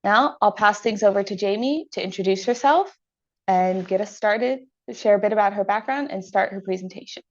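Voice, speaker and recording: An American woman moderating a webinar, reading her introduction in a clear, measured, businesslike way. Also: mid-range voice, muffled recording. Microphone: computer mic on a video call.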